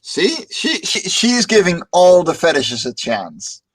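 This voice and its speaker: A man's voice: high voice, big pitch swings, slow, noisy background. A Dutch man hosting a casual livestream chat, upbeat and joking, often repeating words as he starts a sentence. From Netherlands. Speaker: stream host.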